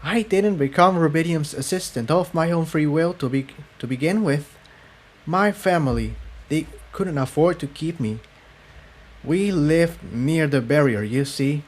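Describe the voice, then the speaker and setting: A man's voice: on the high side, unhurried, voice pushed up. A man voicing a female pony defector in a story, weary and hesitant, choosing words carefully. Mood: hesitant, sad.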